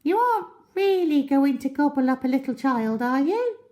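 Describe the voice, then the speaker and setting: An excitable little storybook monkey. The voice is pitched far up and squeaky, exclaiming with big lively swoops. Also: female voice, normal speed. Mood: nervous. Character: excitable monkey, friendly, then horrified and indignant.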